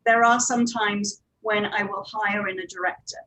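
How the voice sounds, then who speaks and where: A woman speaking as a producer on a panel, explaining things step by step with hesitant pauses. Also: mid-range voice, steady tone, sharp tone, low-quality recording. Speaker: audio drama producer and director, panelist.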